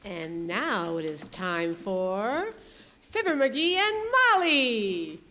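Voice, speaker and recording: Female radio announcer, slow, bright, mid-pitched, slight noise. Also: lively intonation. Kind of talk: old-time radio show introduction. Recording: noisy with clicks.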